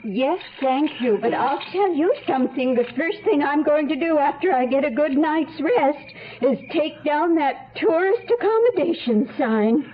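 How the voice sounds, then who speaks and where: Young hostage gal in 70s radio play, defiant, really high voice, tinny. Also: female voice, lively intonation, unhurried, few pauses. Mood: relieved, wry. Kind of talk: 1970s radio drama acting. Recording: tinny, noisy old radio recording.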